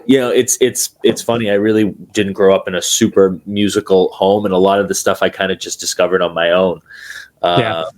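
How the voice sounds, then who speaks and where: Deep-voiced laid-back drummer on a call. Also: male voice, a little fast. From New Hampshire, USA. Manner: relaxed, hesitant with many fillers and restarts. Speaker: guest drummer. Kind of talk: casual interview chat. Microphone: remote video call.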